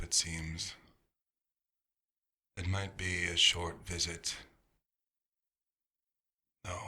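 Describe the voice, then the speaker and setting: Man acting as vampire doctor, ASMR roleplay, very low pitch, crisp bright edge, quiet, nostalgic, musing to himself. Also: exaggerated voice. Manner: unhurried, murmuring to himself with pauses. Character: nostalgic male vampire doctor, quiet, fastidious, gently wistful.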